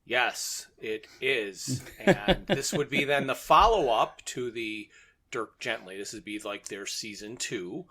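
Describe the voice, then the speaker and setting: Male co-host for a casual discussion podcast, sharp bright tone, average pitch. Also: slow. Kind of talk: casual chat about an audio drama.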